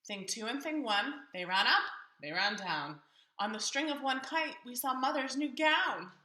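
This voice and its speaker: A woman's voice: on the high side, expressive, normal speed, bright, forceful tone, reverberant. A woman reading a storybook aloud to young children expressively and theatrically, putting questions to her listeners.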